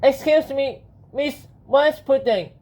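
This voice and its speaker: A man's voice: very high voice, slow pace, mellow, slight background noise, voice pushed up. A man voicing an eager, anxious, polite young boy in a children's audiobook, earnest and pleading. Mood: polite, insistent.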